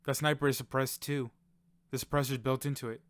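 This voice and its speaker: A man playing an anxious, loyal, excitable friend in an amateur survival audio drama. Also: mid-range voice, a little fast, no echo, natural voice. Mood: earnest. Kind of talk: amateur audio fiction dialogue.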